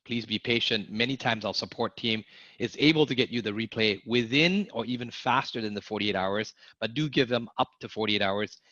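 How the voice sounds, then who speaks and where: Energetic male online sales instructor. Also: lowish voice, moderate pace, no room sound, muffled recording. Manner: energetic, asks audience to type in the chat. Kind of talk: live online class teaching.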